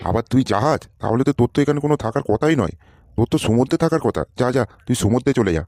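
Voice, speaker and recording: A man's voice: mid-range voice, animated, racing, noisy background, lightly acted. A man voicing an irritable, sarcastic boss in a comedy cartoon, scolding and dismissive. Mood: angry, exasperated. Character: irritable, sarcastic male boss interviewing job seekers.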